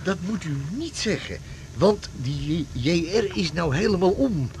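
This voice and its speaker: A man's voice: sits high, lively intonation, measured pace, crowd noise. An actor playing a chatty, nosy ferryman, blunt and folksy, in a naturalistic radio drama. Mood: grudging, chatty. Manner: blunt, folksy, tags remarks with questions. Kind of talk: naturalistic 1980s Dutch radio drama acting. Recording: loud engine-like noise behind the voice.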